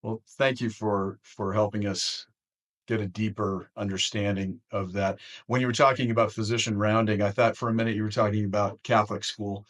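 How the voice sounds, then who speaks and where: Fairly low-voiced male podcast host. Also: level tone, brisk, a bit bright. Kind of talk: conversational interviewing.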